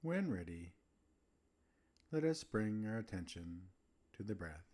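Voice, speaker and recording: A man narrating a scripted guided relaxation as a meditation host, soothing, with gentle pauses between phrases. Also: on the low side, very animated.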